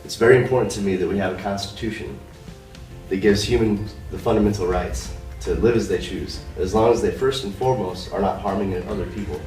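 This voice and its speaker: A low-voiced American man speaks in a deliberate, principled way. He is recorded in an echoey room from a distant mic. Faint music plays behind him. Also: darkish tone. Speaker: interviewee. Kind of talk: earnest answer.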